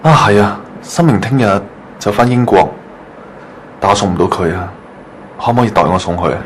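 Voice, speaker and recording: A man's voice: fairly low pitch, fairly quick, a little hiss or hum, natural voice. A man acting a mild, apologetic young hospital patient in an online radio drama, considerate, with a Hong Kong Cantonese accent. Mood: puzzled, casual.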